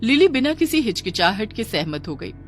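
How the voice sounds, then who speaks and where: Female narrator for children's ghost tales: scripted, dramatic, medium pitch, light music bed. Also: sing-song, moderate pace. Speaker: storyteller.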